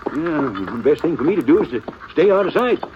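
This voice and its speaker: A man's voice: on the high side, expressive, a little fast, natural voice. An actor playing a chatty, folksy frontier wagon peddler with a rural Western American accent and an eager salesman's patter, in 1950s radio western style. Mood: gossipy. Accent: rural American West. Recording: muffled, noisy old radio recording.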